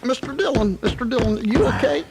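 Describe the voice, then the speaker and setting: An actor playing a naive, anxious and loyal deputy in a Western radio drama, whiny and stammering, with a rural American accent. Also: male voice, very high-pitched, lively intonation, fairly quick, over loud music, poor audio. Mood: alarmed.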